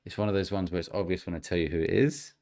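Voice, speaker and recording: Male podcast host, very low and gravelly, casual quiz-master delivery. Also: steady tone, racing, darkish tone.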